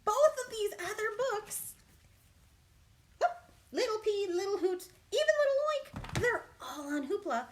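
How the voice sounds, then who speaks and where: A woman's voice: very high voice, very animated, unhurried, a little hiss or hum. A woman working as a children's librarian, leading storytime with an exaggerated, encouraging manner and questions to young listeners. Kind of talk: animated children's storytime read-aloud and chat.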